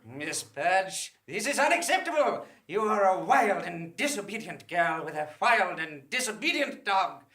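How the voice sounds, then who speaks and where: An actor playing a horrible, domineering, indignant fiancé, pompous and menacing, in a live comedy radio play. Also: male voice, high-pitched, very animated, a little slow, few pauses, bright, forceful tone, exaggerated voice. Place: theatre stage, live performance. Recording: echoey theatre stage recording.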